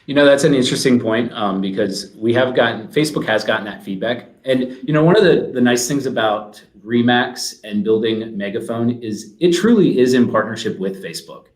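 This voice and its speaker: A man's voice: medium pitch, expressive, a little fast, few pauses. A man speaking as a guest in a webinar, explaining things in a businesslike way. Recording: roomy, echoey sound.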